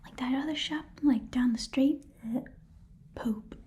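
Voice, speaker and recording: A breathy, whispering goblin pawnbroker, played by a woman in an ASMR role-play. The voice sits fairly high with a soft, mellow tone. The talk is cheerful, shady and chatty, rambling along as a casual hard sell. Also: measured pace. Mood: eager, salesy.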